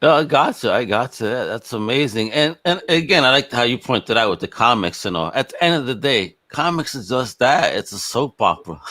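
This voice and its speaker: A man hosts a podcast with very lively, swinging intonation. His talk is casual and slangy, full of banter, and the sound is close and dry. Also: medium pitch, normal speed, dry recording. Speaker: podcast host. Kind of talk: casual chat.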